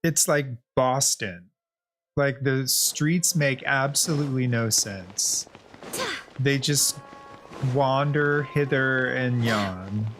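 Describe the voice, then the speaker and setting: A man's voice: medium pitch, slow, fairly bright tone, a little hiss or hum. A man streaming a game, chatting casually in a laid-back, rambling way. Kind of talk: casual chat while playing a game, reading lines aloud. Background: game music.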